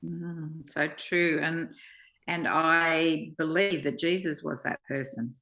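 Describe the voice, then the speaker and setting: Woman, low-pitched and unhurried, halting reflective delivery for a spiritual discussion. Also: fairly even intonation, bright, forceful tone, poor audio. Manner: halting, reflective, pausing mid-sentence. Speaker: study group participant.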